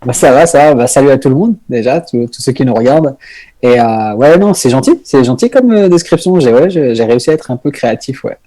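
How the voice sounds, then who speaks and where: A young man from northern France chats casually as a podcast guest. He talks in a hesitant, halting way, full of fillers and restarts, at an ordinary middle pitch. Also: lively intonation, warm tone. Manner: hesitant, many fillers and restarts, laughs often. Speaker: comedian guest.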